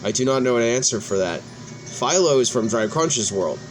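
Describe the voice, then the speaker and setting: Male gaming host, mid-pitched, reads story dialogue aloud with the odd stumble, music behind him. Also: animated, normal speed. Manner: stumbles over and restarts words while reading. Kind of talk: reading game cutscene dialogue aloud in a let's play.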